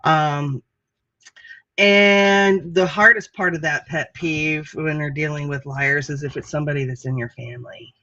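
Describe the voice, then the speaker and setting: A woman's voice: low-pitched, fairly even intonation, measured pace, pushed and bright, dry recording, highs cut off. A woman co-hosting a casual online chat show, talking loosely, laughing often and cursing casually. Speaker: co-host. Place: home with animals behind her.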